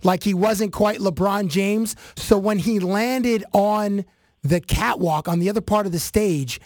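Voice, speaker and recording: A man from Canada hosting an interview, telling stories in an animated way with many fillers. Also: high voice, a little slow, dry recording, clean studio sound. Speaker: podcast host. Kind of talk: casual interview chat.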